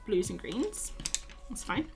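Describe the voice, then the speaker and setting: A woman's voice: mid-range voice, very animated, takes it slowly, vocal fry, darkish tone, faint music behind. A young woman, tired and losing her voice, chatting casually with viewers as she paints on a live stream. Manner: chatty, self-mocking, talks to viewers while drawing. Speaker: art streamer.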